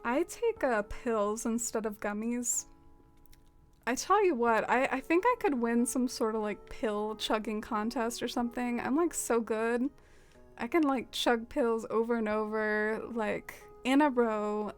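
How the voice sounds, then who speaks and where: A woman's voice: a little high, a little slow. Chatty young Southern woman streaming with music. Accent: Southern American. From American South. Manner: chatty, self-deprecating, giggly, lots of "like". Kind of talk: casual live-stream chat while gaming.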